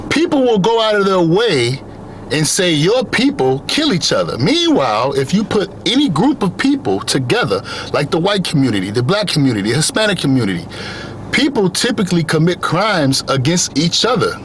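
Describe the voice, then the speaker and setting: A man's voice: a little high, sing-song, normal speed, slight background noise, low-quality recording. A young man giving an earnest motivational monologue, driving his points home with repeated phrases. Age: young adult. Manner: earnest, repetitive emphatic phrasing. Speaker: vlogger.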